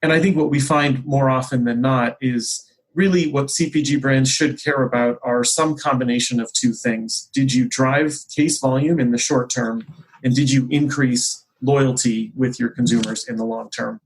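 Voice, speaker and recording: A man talks through business points conversationally, in a measured way, often pausing mid-phrase to restate himself. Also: medium pitch, steady tone, husky, poor audio. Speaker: company executive, interview guest. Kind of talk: conversational business discussion, explanatory.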